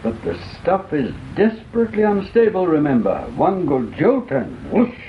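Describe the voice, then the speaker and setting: A man's voice: sits high, wildly swooping pitch, normal speed. An actor playing an erudite, dryly witty older Englishman in a radio drama, musing pedantically in an English accent. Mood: musing, donnish. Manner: pedantic, wry, leisurely musing. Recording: thin phone-like old radio recording, faint noise.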